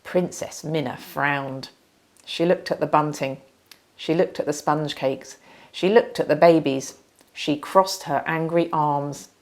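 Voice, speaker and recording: A woman reading a children's storybook aloud as narrator, with dramatic, deliberate emphasis. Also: deep voice.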